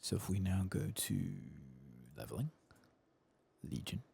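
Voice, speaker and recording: A man with a low, very husky voice gives soft ASMR game commentary. It crackles with fry, and he murmurs his way along as if to himself, sometimes in a whisper. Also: sing-song, unhurried. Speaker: host of a game playthrough channel.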